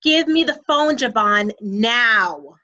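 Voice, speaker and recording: A woman's voice: fairly high pitch, animated, measured pace, pushed and bright, muffled recording. A woman playing a stern mother in an audio drama, scolding her children in an emphatic way. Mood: exasperated, scolding. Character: stern mother of bickering children at the dinner table.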